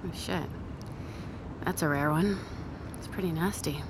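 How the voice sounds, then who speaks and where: An actress playing a blunt, exhausted survivor in a naturalistic audio drama, casual and sweary. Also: female voice, low voice, a little slow. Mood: weary, frank. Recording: clear car noise behind the voice.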